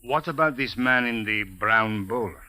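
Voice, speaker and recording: An actor playing a suave, skeptical Cairo police captain in a 1940s radio drama, formal, measured and dryly sardonic. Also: male voice, mid-range voice, expressive, bright, forceful tone. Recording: noisy old radio recording with clicks.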